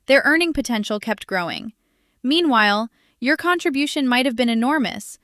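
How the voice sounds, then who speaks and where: A slightly high-pitched woman delivers commentary with sass and emphasis, stacking rhetorical questions one after another. The sound is dry and close, with no room echo. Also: a bit bright. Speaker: commentary host. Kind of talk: direct-to-camera social commentary.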